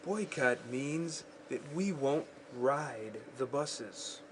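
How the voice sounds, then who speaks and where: A man playing a calm, patient father in a school radio drama, speaking deliberately and explaining things. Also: mid-range voice. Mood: patient, serious. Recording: faint background noise, home recording.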